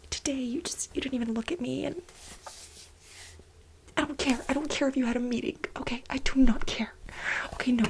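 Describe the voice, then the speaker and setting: A woman playing a clingy, unhinged millennial office assistant in a breathy, halting, soft-spoken ASMR role play. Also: fairly high pitch, a little hiss or hum. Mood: hurt, tearful, then indignant. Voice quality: breathy, whispery, creaky. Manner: halting, dramatic, self-justifying.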